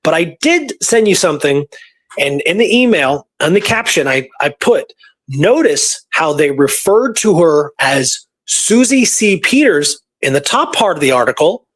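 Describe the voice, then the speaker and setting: A man's voice: on the high side, very animated, unhurried, fairly bright tone. A man talking casually through historical research, rambling and informal, repeating points for emphasis. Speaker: history researcher and show guest or co-host.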